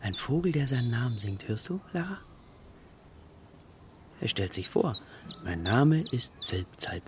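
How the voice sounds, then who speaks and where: A man's voice: medium pitch, big pitch swings, measured pace, long pauses. An actor playing a reflective, patient, bird-loving father in a children's radio play. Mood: gentle, musing. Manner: musing, patient. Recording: outdoor birdsong noise behind voice. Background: birdsong.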